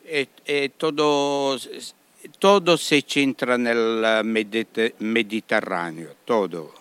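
A man with a strong Italian accent talks at a slow, unhurried pace in a smooth, resonant voice. He halts and restarts often, slipping Italian words into his Spanish as a restaurant owner being interviewed. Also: medium pitch, fairly bright tone, no echo. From Italy. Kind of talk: radio interview.

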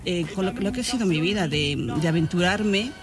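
A woman's voice: fairly low pitch, lively intonation, fairly quick, music in the background. An older woman from Spain, reminiscing as an interview guest in a reflective way, often searching for words. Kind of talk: conversational interview, personal reminiscing.

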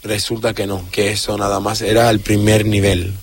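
A young man from Syria, a radio interview guest telling his own story in an earnest, halting way with many fillers and restarts. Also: lowish voice, little pitch movement.

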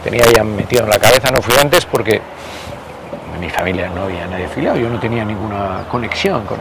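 A man, telling stories as an interview guest, hesitant with many pauses and restarts and some casual swearing. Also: fairly low pitch, animated. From Basque Country. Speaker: interview guest, party member. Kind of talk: conversational storytelling interview. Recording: loud background noise.

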